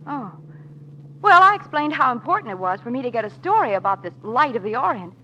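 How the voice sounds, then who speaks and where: A plucky, chatty society lady in an old radio serial. Her voice is bright and swoops with eagerness. The recording is lo-fi with light background noise. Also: a little high, very animated, brisk. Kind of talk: 1940s radio drama acting. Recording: dull, faintly noisy vintage 1940s radio recording.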